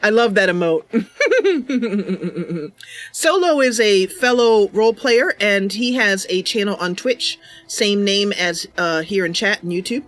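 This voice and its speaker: A woman's voice: mid-range voice, expressive, slow pace. A woman hosting a roleplay game livestream, chatty and welcoming, switching between casual commentary and narration. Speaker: streamer, roleplay game host.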